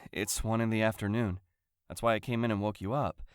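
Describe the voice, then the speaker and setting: A man's voice: on the low side, fairly even intonation, fairly quick, smooth, no room sound, studio quality, lightly acted. A man playing a tender, reassuring middle-aged father in an audio drama. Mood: gentle. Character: gentle, concerned middle-aged father of a teenager.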